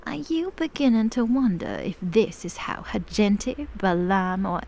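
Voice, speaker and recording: A woman's voice: medium pitch, expressive, unhurried, creaky, darkish tone, a little hiss or hum. A woman playing a taunting, playful, cruel demoness in an audio drama, with a teasing delivery. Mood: menacing.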